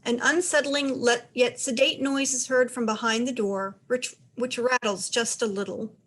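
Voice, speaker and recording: Higher-voiced woman narrating stage directions. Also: steady tone, moderate pace, fairly bright tone, a little hiss or hum. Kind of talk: scripted narration.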